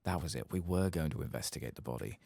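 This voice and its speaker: Very deep, creaky, soft-spoken gaming man. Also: animated, quick pace, no echo. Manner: casual, rambling, wry, sweary. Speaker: host of a game playthrough channel. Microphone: close mic.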